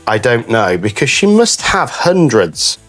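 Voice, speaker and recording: A man hosting a craft video in a chatty, casual way. His voice is a little croaky with a cold and rises and falls in a lively way. Music plays behind him. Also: mid-range voice, a little slow. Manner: chatty, addresses viewers as guys. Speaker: craft channel host. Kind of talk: casual craft tutorial chat.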